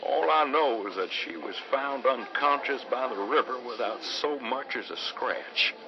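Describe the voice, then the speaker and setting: An actor playing a grave, hesitant, folksy small-town sheriff in an old-time radio drama. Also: male voice, mid-range voice, measured pace, natural voice. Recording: noisy lo-fi radio sound.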